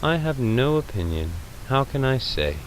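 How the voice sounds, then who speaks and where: A man voicing a mild, detached, gently bemused male recluse, quiet and polite, in an audiobook reading. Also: medium pitch, sing-song, normal speed, a little hiss or hum, voice pushed up, lightly acted, low-quality recording. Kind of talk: audiobook character voice.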